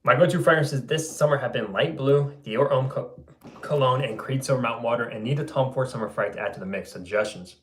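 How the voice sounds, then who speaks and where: A young man hosting a fragrance livestream and reading out viewer comments in an easygoing way, his voice going a little hoarse. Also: mid-range voice, fairly quick, roomy sound. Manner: laid-back, loose, says bro and man a lot. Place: room at home.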